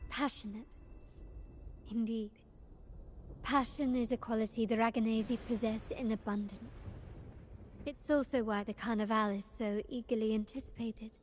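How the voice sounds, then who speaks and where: A woman playing a poised, cultured and enigmatic young lady in a video game, speaking formally and with measured eloquence. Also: a little high, steady tone, slight background noise, lightly acted. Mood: measured, musing. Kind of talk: scripted video game dialogue.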